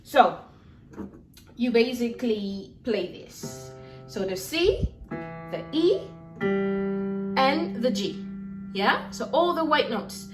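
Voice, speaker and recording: A young woman with a high voice leads a singing lesson, relaxed and encouraging, often pausing to check in with her students. She sounds a little distant from the mic in an echoey room. Soft instrument music plays faintly behind her. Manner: demonstrates lip trills and sung syllables. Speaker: singing teacher. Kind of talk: singing lesson with vocal exercises.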